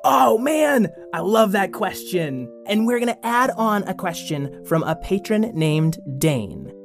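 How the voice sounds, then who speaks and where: A man hosts a children's story podcast with lively, chatty delivery. Faint music plays behind him. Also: sits high, slow pace, gravelly.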